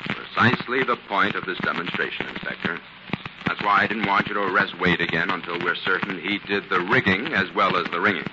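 Man acting assured scientist detective, fairly low voice, 1940s radio drama, heavy recording noise. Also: brisk, highs cut off, lightly acted. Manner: assured, explanatory. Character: confident, steady male scientist sleuth.

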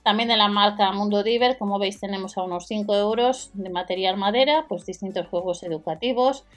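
A woman's voice: medium pitch, brisk. Woman, voice-over, matter-of-fact price rundown, faint music behind. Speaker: deal-video host. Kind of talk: voice-over product walkthrough.

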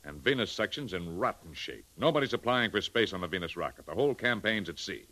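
A forceful, fairly low hearty older advertising chief in fifties radio drama. He is paternal and domineering, declaiming like a man used to giving orders. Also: animated, quick pace, heavily acted, rough recording. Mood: hearty, urgent. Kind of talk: 1950s radio drama acting.

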